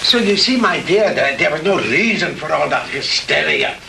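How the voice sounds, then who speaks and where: An actor playing a courteous but menacing mad scientist in a 1960s B-movie, with a formal, pompous, falsely reassuring manner on an old film soundtrack. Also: male voice, a little high, animated, normal speed, vocal fry, pushed and bright, recorded from a distance, slight background noise, heavily acted. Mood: smoothly reassuring. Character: menacing, courteous mad scientist holding captives, coolly insistent.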